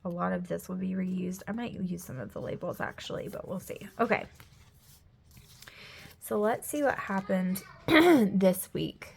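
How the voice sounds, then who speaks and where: A woman's voice: fairly low pitch, a little slow, vocal fry, breathy edge. A woman, chatting casually at home while she decorates a planner; she is sniffly, her throat is scratchy, and she rambles with lots of "like" and often drops to a whisper. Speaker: planner vlogger, mother. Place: home room.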